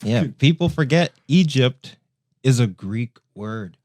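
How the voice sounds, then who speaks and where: A man's voice: mid-range voice, expressive, takes it slowly, a little mellow, no echo. Casual man chatting, close and dry. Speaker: podcast co-host. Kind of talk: casual chat.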